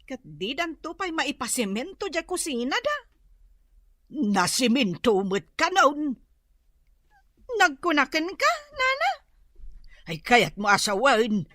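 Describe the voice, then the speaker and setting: A woman's voice: sits high, very animated, slow pace, pauses often, bright, forceful tone, dry recording, clean studio sound, heavily acted. A woman playing a nosy, envious, gossiping housewife who mutters about neighbors in a comedic radio drama.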